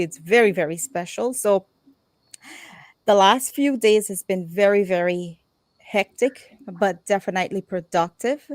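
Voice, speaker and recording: A middle-aged woman hosts a live online show in an effusive, heartfelt way. Her voice sits at an ordinary pitch. The recording is dry, with no room echo. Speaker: talk show host.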